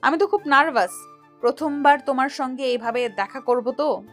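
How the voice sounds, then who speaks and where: A woman's voice: high voice, normal speed, a bit bright, soft background music. A woman playing a coy, shy, sweet-talking young girlfriend in an audio story. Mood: coy, delighted. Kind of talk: Bengali audio story acting.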